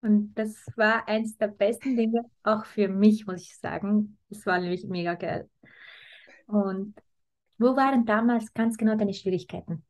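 Young woman, host, upbeat, steady questions, home office, camera mic. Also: medium pitch, measured pace. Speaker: host, mentor. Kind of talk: hosting an interview.

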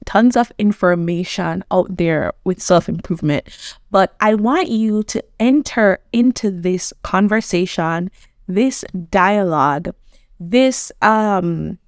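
A woman's voice: medium pitch, dry recording, clean studio sound. A woman from Jamaica, hosting a motivational self-improvement talk, earnest and conversational, often checking in with the viewer. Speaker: self-improvement coach and host.

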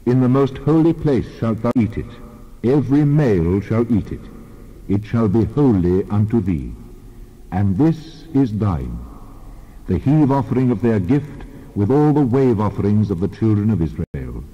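An actor playing God as a solemn, commanding lawgiver in a dramatized scripture reading. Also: male voice, lowish voice, very animated, a little slow. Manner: solemn, declarative. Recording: long reverb, dull band-limited sound.

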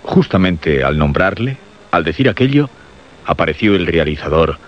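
A man with a Castilian Spanish accent narrating a suspense radio drama solemnly and deliberately, in short phrases. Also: sits low, sing-song. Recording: muffled radio recording.

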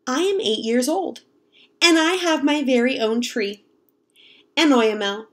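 A young woman reading a picture book aloud to children in an expressive, explanatory way. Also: sits high, a little slow. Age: young adult. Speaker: read-aloud storyteller, teacher. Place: home kitchen, indoors.

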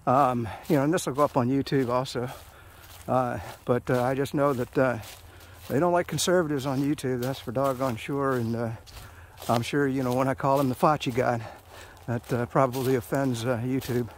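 A man's voice: mid-range voice, fairly even intonation, moderate pace, slight background noise. A middle-aged man, talking casually and rambling while he hikes a forest trail, recording on a phone he holds. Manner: rambling, self-mocking, many fillers. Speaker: hiking vlogger. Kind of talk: casual walking commentary. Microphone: phone camera held while walking.

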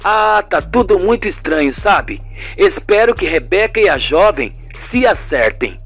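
A man's voice: sits high, very animated, measured pace, fairly bright tone, faint music behind, exaggerated voice. A man voicing a puzzled, reasonable husband in a radio serial, colloquial and questioning.

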